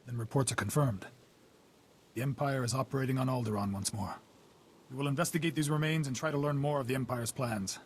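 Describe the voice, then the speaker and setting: A man's voice: medium pitch, a little fast, slight background noise, lightly acted. An actor playing a formal, dutiful male officer in a video game. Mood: grave, grateful.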